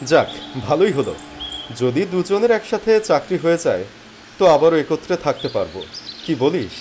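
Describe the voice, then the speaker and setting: A man playing a weary, penniless job seeker who tells his story in expressive, plaintive dramatic narration. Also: fairly high pitch, moderate pace, a little hiss or hum. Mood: plaintive, friendly. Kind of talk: first-person dramatic story narration and character dialogue. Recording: music behind the voice. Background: background music.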